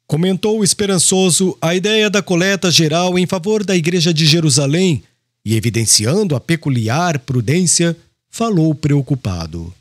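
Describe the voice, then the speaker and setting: A man narrating a literary audiobook in a formal, expressive reading style. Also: on the high side, moderate pace, no room sound.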